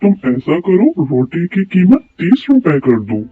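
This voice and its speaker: A man playing a calm, reassuring and commanding king in an Urdu moral cartoon story, measured and gracious. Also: fairly high pitch, husky, heavily acted. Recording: phone-like thin sound with music behind.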